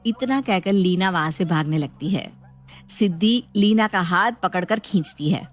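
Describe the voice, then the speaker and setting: A woman's voice: on the low side, animated, unhurried, soft background music. A woman narrating a scripted story as a storyteller.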